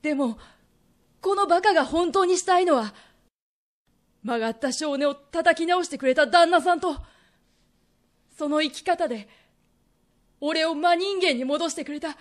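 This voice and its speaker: A woman playing a remorseful, earnest young merchant in a radio drama, telling his story as an emotional confession. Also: extremely high pitch. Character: reformed young merchant man, earnest, remorseful, pleading son.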